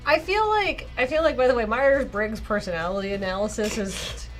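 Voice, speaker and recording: High-voiced woman streaming a game. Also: moderate pace, faint music behind, low-quality recording. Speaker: streamer and co-host. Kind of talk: casual live game-stream chat and reading aloud.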